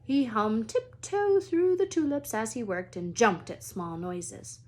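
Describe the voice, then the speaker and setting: Husky woman reading a story aloud. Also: a little high, lively intonation, measured pace. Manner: dramatic, drops to hushed tones for suspense. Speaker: read-aloud storyteller, teacher. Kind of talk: expressive children's book narration.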